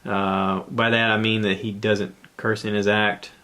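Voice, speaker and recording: A man with a fairly low voice talks casually as a solo podcast host. He rambles conversationally and haltingly, working out his thoughts aloud as he goes. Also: little pitch movement, bright, forceful tone, clear, resonant tone.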